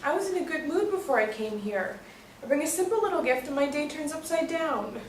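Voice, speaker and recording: A woman's voice: sits high, lively intonation, brisk. A woman playing a breezy, well-meaning but easily put-out visitor in a live stage play reading. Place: echoey indoor stage or hall. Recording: echoey room, distant mic, faint noise.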